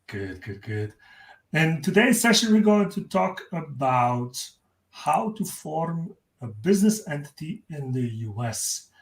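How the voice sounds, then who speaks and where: A man's voice: fairly high pitch, sing-song, slow pace. A man from Belgium hosting a live webinar, introducing his guest in an upbeat, welcoming way. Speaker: webinar host. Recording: roomy echo.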